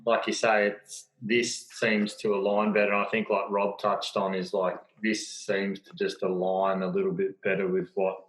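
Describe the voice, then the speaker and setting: A man's voice: on the low side, monotone, moderate pace, hardly any pauses. A man chatting casually as a participant in an online group call. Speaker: program participant. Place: live online video call. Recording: faintly noisy video call with clicks.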